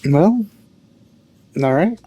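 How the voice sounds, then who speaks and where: A woman's voice: fairly low pitch, expressive, very slow, dark tone, breathy edge. A woman giving casual let's play commentary with wry, offhand asides.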